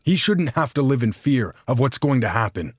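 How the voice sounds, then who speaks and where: A man's voice: mid-range voice, very animated, normal speed, slightly rough, no echo, clean studio sound. A man playing a cautious, rule-bound fairy guardian in a fan audio drama, arguing with reproach and sarcasm. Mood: stern, protective.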